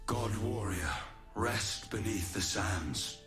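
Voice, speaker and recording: Slow, deep, noble god-warrior. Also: male voice, lively intonation, fairly bright tone, reverberant, faint music behind, exaggerated voice. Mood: solemn, sorrowful. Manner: solemn, declamatory, formal. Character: noble, solemn ancient god-warrior turned demonic living weapon. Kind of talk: scripted video game voice lines.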